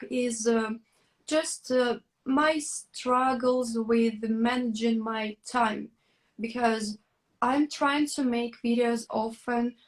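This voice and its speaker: A young woman from Russia, speaking English as a with a voice a bit higher than most. She talks very slowly, pausing to hunt for the right word. Her manner is thoughtful and unhurried, like casual stream chat. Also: rough recording. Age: young adult. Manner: thoughtful and hesitant, searching for words. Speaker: YouTuber, co-host of a live stream.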